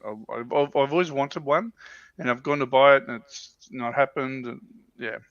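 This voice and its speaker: A man with a mid-pitched voice chats informally as a livestream co-host, thinking aloud as he goes. The sound is dry and close, but somewhat muffled and lo-fi. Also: normal speed, fairly bright tone, no echo. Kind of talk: casual hobby chat.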